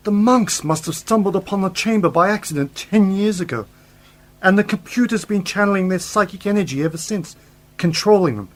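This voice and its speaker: A man's voice: high-pitched, brisk, lightly acted. A man acting as a brilliant, confident space-travelling scientist uncle in a radio drama, explaining things in an assured, theatrical way. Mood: excited, assured. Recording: noticeable background noise.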